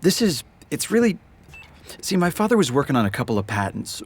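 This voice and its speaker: A man's voice: a little high, very animated, brisk, husky. A man playing an awkward, sardonic, bookish teenage boy in a radio drama. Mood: hesitant. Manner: hesitant, nervous, sardonic.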